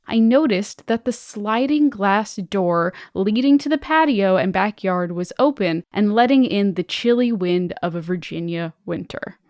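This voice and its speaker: A woman's voice: medium pitch, a little mellow, dry recording, studio quality. A woman co-hosting a podcast, reading listener stories aloud expressively with casual asides.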